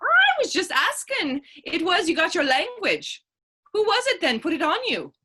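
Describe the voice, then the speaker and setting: A woman plays a quick-witted Irish lass in a stage play. Her voice is high and sharp, with lively swoops. She is saucy and challenging, trading folksy retorts. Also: full character voice. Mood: saucy needling. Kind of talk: stage readers theater dialogue.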